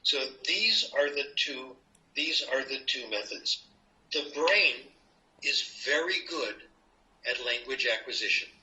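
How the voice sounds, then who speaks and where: An older American man talks like a professor at the board, playful and quick with asides. His voice is very bright and forceful. The recording sounds dull and lo-fi. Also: medium pitch. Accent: American English. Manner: playful, lively teaching tone with asides. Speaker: linguistics professor, interview guest. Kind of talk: explaining to an interviewer.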